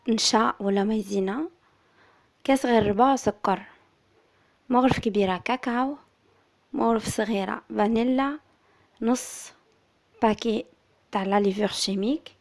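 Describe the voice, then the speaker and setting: A woman with an Algerian Arabic accent who is slightly hoarse from illness, calmly explaining a recipe as a cooking-channel host. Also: fairly high pitch. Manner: soft, subdued, steady explaining. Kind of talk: recipe tutorial voice-over.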